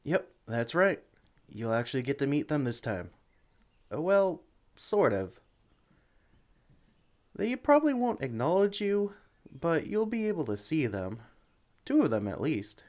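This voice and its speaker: A man playing a friendly, caring animal sanctuary worker in an ASMR roleplay, talking gently and encouragingly to a newcomer. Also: fairly high pitch, sing-song, measured pace, long pauses. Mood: upbeat, encouraging. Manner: gentle, encouraging, chatty, coos to creatures. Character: friendly, caring experienced male Pokemon sanctuary worker showing newcomer around. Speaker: voice actor in audio roleplay. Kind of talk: ASMR roleplay, acted one-sided conversation.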